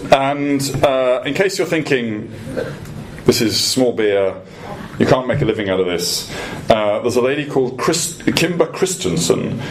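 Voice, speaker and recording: Low-voiced man presenting, noisy recording. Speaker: guest speaker, industry association executive. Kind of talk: prepared policy presentation to a live room. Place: event room at a dinner debate. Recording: clearly noisy live event recording.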